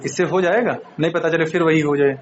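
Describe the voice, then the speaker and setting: Young man, North Indian accent, higher-pitched, exasperated caller, dull phone recording. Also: fairly even intonation, fairly quick, a faint crowd, slight background noise. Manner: exasperated, insistent. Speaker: caller seeking help. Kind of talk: informal phone complaint. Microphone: phone call.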